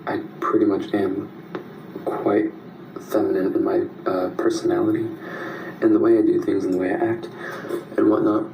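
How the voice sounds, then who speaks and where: A man talking slowly and hesitantly to camera. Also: on the low side, level tone, vocal fry, mellow. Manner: slow, hesitant. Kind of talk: casual talk to camera. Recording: echoey room, faint noise.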